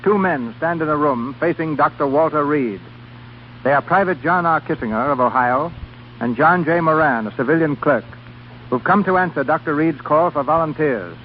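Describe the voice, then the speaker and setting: A dull old radio broadcast with slight noise. A man with a slightly high voice presents as host, formal and declamatory, in 1930s scripted narration style. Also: normal speed.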